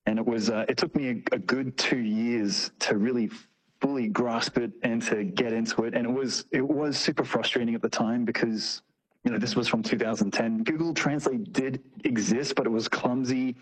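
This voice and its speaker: Male interview guest, mid-pitched and a bit husky, casual, slangy storyteller. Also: moderate pace, low-quality recording. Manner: informal, slangy, acts out short quotes. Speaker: interview guest, tour operator. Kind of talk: casual conversational anecdotes and explanation.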